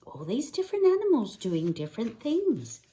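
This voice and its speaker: A woman reading a picture book aloud to children, expressive, with sing-song questions. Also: fairly high pitch, moderate pace. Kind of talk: children's read-aloud narration.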